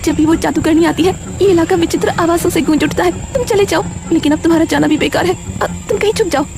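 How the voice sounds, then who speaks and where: A woman's voice: extremely high pitch, fast, creaky, voice pushed up. A woman voicing a frightened, pleading young village girl, speaking anxiously and earnestly in a cartoon story. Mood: panicked.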